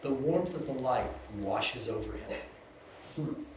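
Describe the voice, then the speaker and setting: A man's voice: mid-range voice, lively intonation, slow. A man reading scripted scene directions as the narrator of a live screenplay table read. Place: indoor venue, live reading. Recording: echoey room with background noise.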